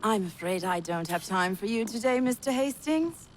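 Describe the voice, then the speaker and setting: An actress playing a cold, commanding Templar villain with a curt, imperious manner in a video game. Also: female voice, mid-range voice, lively intonation, moderate pace, a little hiss or hum, natural voice. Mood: cool, businesslike. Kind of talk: video game cutscene acting.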